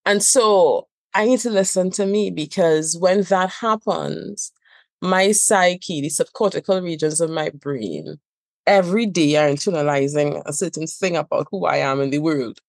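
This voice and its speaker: Trinidadian woman guest, low and dry, emphatic, builds points by repetition. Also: normal speed, vocal fry, no echo. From Trinidad and Tobago. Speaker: guest therapist. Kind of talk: podcast conversation.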